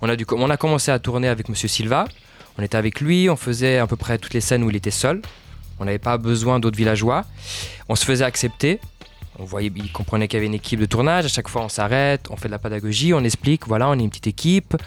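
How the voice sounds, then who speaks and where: A man from Portugal, a film director being interviewed, talking fast and searching for words as he explains at length. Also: medium pitch, soft background music. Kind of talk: interview answers.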